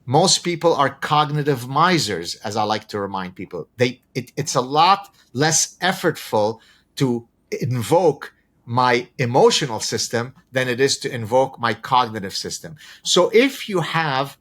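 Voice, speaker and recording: A man whose first language is Arabic, explaining ideas as a podcast guest in a deliberate, professorial way with rhetorical questions. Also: medium pitch, animated, fairly bright tone. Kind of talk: conversational explanation with examples.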